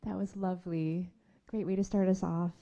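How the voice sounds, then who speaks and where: A woman hosting a festival reading, chatty and quick to laugh as she introduces the next poet on a theatre stage. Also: on the low side, flat delivery, mellow, highs cut off. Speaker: festival host introducing readers. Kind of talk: live event introduction.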